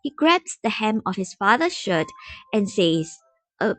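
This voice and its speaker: A woman narrates a story in an expressive storybook style. Her pitch moves around a lot from phrase to phrase, and faint music plays behind her. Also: unhurried. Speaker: narrator, fan story reader.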